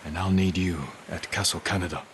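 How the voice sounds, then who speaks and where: An actor playing a stoic, guarded samurai warrior in a video game, speaking in a terse, measured way. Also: male voice, low voice, level tone, natural voice. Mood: guarded, resolute. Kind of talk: cinematic video game dialogue. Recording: game dialogue under clear ambient noise.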